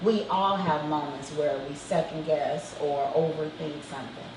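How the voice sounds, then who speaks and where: A woman's voice: low-pitched, unhurried. A woman hosting a casual talk show, speaking in an encouraging, affirming way. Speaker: host. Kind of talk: casual chat. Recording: echoey room heard from a distance, with background noise.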